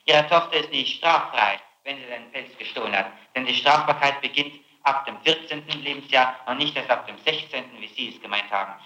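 Sharp-voiced male prosecutor on an echoey tape. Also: mid-range voice, a little fast, few pauses. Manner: pressing, confrontational, reproachful. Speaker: public prosecutor conducting an interrogation. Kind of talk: formal interrogation. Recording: muffled, echoey, noisy 1960s interrogation tape.

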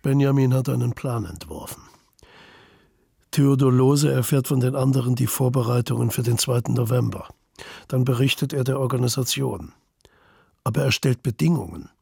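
Male radio drama narrator. Heavy gravel and rasp, dark timbre, recorded very dry. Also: medium pitch, normal speed, dry recording. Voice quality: gravelly, raspy.

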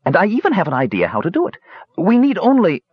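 A man voicing a small, cheerful kender sidekick who is eager and fussily deferential, in a raised husky voice. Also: high-pitched, wildly swooping pitch, quick pace, no room sound, highs cut off, pitched above the performer's own voice, heavily acted. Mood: fretful.

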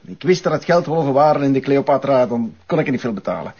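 A man's voice: medium pitch, expressive, fast talker, a little mellow, breathy edge. A man acting as a surly, evasive, foul-mouthed Flemish café owner who is being interrogated in a crime drama. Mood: grudging. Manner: defensive, coarse, blustering. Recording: dull, muffled audio.

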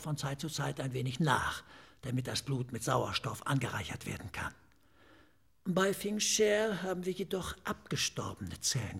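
A slightly eccentric forensic pathologist in a radio drama. His voice is gravelly and rough, set a little high for a man. He explains clinical matters in measured phrases, confiding and relishing each detail. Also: full character voice. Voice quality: raspy, creaky. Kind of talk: German radio drama acting.

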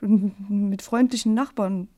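An actress plays a fragile woman in her early thirties in a radio drama. Her medium-pitched voice is hesitant and weary, stumbling over words and often thinning into a breathy murmur. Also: fairly even intonation, dark tone, close to an everyday voice. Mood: hesitant, wistful. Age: 30s. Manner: halting, stammering, often trailing into breathy murmurs. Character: fragile, weary, withdrawn woman of thirty-two working night shifts. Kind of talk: German radio drama acting.

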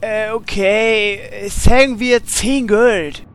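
An actor playing Horst, a big, strong but naive warrior who keeps asking puzzled questions, in a comedy radio play. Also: male voice, high-pitched, fairly even intonation, very slow, a bit bright, slight background noise, heavily acted. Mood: hurried.

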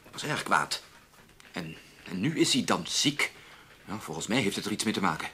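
A man's voice: low voice, big pitch swings, normal speed, sharp tone, exaggerated voice. A man with a Netherlands Dutch accent playing a stern, self-justifying middle-aged newspaper chief in a radio play. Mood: indignant, confiding. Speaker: radio drama actor. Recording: faint background noise.

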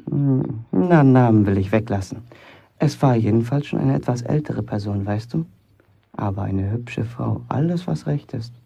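An actor playing a cheeky, boastful young bricklayer in a German radio play, talking colloquially and defiantly. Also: male voice, mid-range voice, gravelly, warm tone, breathy edge. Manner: colloquial, provocative, self-justifying.

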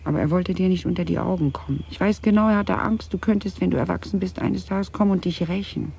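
An actress playing a haunted middle-aged woman in a German radio drama, confiding grave family guilt in a halting, husky voice. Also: lowish voice, dark tone, exaggerated voice. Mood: troubled. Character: haunted Dutch woman confessing her family's wartime guilt. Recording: slightly dull 1980s radio recording.

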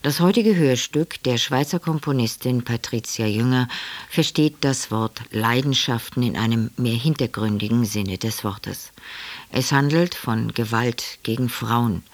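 Man, medium pitch, radio announcer, scripted introduction, formal measured delivery.

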